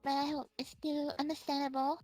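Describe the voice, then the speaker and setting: A very high, bright voice with a Singaporean English accent, bubbling over with excitement and little giggles. The speaker rambles happily through stories in a casual online interview, with faint music playing underneath. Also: fairly quick, no echo. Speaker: guest streamer.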